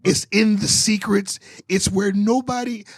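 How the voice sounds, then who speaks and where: Male co-host from Miami, husky and fairly high, emphatic and musing. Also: a little slow, dry recording. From Miami, United States. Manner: animated, emphatic, muses aloud. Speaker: podcast co-host. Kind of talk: casual group chat.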